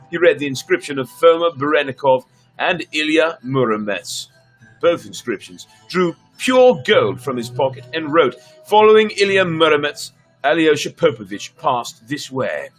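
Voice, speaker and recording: A man's voice: a little high, animated, moderate pace, a bit bright, rough recording. A man telling a folk tale as on a live stream, reading dramatically with casual asides and stumbling over names. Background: background music.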